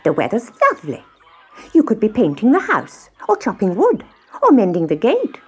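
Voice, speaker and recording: A woman voicing a busy, bossy little red hen in a children's storybook, brisk and exclaiming. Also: medium pitch, huge pitch swings, a little mellow, husky, voice pushed up. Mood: scolding.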